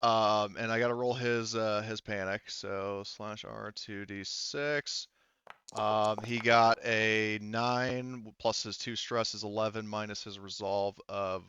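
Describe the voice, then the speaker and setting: A man narrating a tabletop roleplaying game as game master, halting as he thinks aloud, through a muffled mic. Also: on the low side, steady tone, pushed and bright, clear, resonant tone, dry recording.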